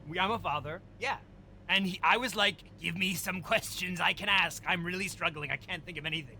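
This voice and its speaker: A man playing a hapless, eager wannabe villain and struggling dad in an improvised comedy sketch, halting, pleading and earnestly boastful. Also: high-pitched, bright, forceful tone, a little hiss or hum, heavily acted, poor audio. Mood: sheepish.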